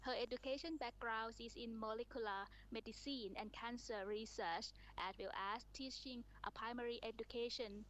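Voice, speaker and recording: A woman moderating a conference session, introducing the speakers one by one with halting speech and many fillers. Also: fairly high pitch, steady tone, a bit bright, a little hiss or hum, low-quality recording.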